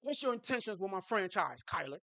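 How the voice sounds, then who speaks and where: A man's voice: high voice, sing-song, a little fast, sharp tone, no echo, exaggerated voice. A man acting out a protective father firing suspicious questions at his daughter's date. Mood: interrogating.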